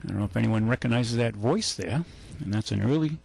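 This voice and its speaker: Middle-aged guy hosting a radio call-in, gravelly husky voice, loose and rambly. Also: medium pitch, brisk, dry recording. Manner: relaxed, rambling with fillers. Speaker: radio talk show host, car dealer. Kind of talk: casual call-in radio chat.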